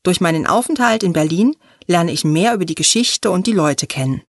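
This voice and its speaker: A woman reading a short first-person text aloud for a language listening exercise, measured and deliberate. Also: on the low side, expressive, dry recording, clean studio sound.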